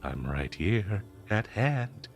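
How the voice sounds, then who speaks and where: A man's voice: on the low side, big pitch swings. A man playing a cursed talking dagger, possessive, lovestruck and bloodthirsty, in a melodramatic, cooing audio roleplay addressed to the listener. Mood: cooing, sinister. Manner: melodramatic, cooing, slow drawn-out delivery with manic giggles.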